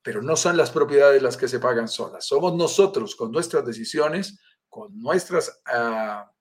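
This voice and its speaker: A man's voice: mid-range voice, animated, measured pace. A man with a Colombian accent, presenting a live stream over a video call in an enthusiastic, welcoming and persuasive way. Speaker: live stream host, sales director.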